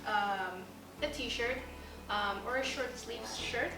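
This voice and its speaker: Young woman from Ghana, a bit high-pitched, easygoing chatty delivery; distant mic, music bed. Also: slow, echoey room. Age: young adult. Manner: relaxed, chatty, hesitant fillers. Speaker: international student. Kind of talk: casual informational video.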